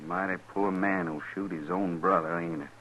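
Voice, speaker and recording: A man's voice: deep voice, fairly even intonation, normal speed, fairly bright tone. An actor playing a humble, puzzled frontier man in a 1950s radio western, folksy, hesitant and deferential. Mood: grateful, marveling. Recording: muffled 1950s radio recording.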